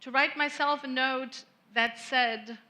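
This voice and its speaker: Lady minister, slow gentle sermon, kinda high voice. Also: steady tone. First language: German. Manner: gentle, reflective, unhurried with long pauses. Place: church sanctuary.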